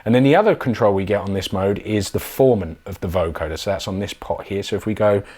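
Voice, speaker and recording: Male product demonstrator, lowish voice, casual and conversational delivery. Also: fast talker, over music.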